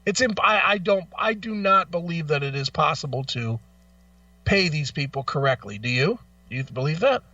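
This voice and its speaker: A man's voice: fairly high pitch, lively intonation, normal speed, pushed and bright, no echo, far from the mic, dull audio. A man hosting a talk show, speaking casually and bluntly with opinions, swearing freely and sounding exasperated and emphatic.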